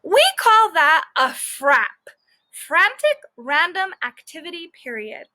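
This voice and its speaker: A woman's voice: very high voice, big pitch swings, slow, pushed and bright, dry recording, pitched above the performer's own voice, full character voice, poor audio. A woman voicing a stern, knowing dog trainer in an emphatic, authoritative way for a children's storybook. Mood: exasperated. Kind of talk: children's book read-aloud.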